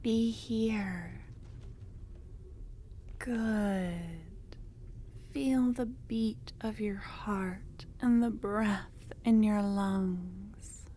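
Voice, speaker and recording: A woman voicing an obsessive, possessive young cultist in an ASMR fantasy roleplay, speaking in a soft, breathy half-whisper that coaxes and soothes. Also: medium pitch, very slow, slight background noise. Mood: soothing, possessive.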